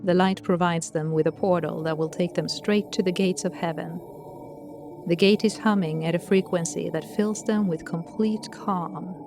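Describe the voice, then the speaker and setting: Female narrator, lowish pitch, wry storytelling, scripted audio drama, faint music. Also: fairly even intonation, moderate pace, vocal fry, darkish tone.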